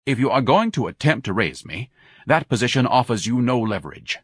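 Pompous whiny fat man for an audiobook, husky, indignant, swoops all over. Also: medium pitch, big pitch swings, normal speed, a bit bright, no echo, studio quality, pitched above the performer's own voice. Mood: didactic. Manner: pompous, didactic, melodramatic. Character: obese, pompous, whining man, indignant blusterer.

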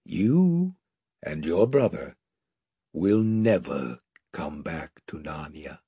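Guy doing a huge gentle lion king, deep and slow and breathy, very grave. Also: very animated, no room sound, clean studio sound, exaggerated voice. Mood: solemn tender. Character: great wise gentle male lion.

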